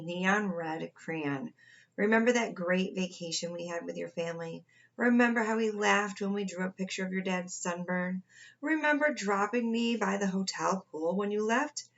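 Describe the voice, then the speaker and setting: A woman's voice: medium pitch, lo-fi sound, natural voice. A woman voicing a breezy, clueless, forgotten storybook crayon in a chatty, questioning way. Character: forgotten neon red crayon, breezy clueless reproachful traveller.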